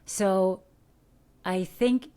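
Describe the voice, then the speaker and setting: A middle-aged American woman from Detroit, an actor giving earnest, coaching advice in a podcast interview, animated with rhetorical questions. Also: mid-range voice, very slow, clean tone. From Detroit, USA. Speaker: guest, actor. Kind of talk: podcast interview, motivational talk.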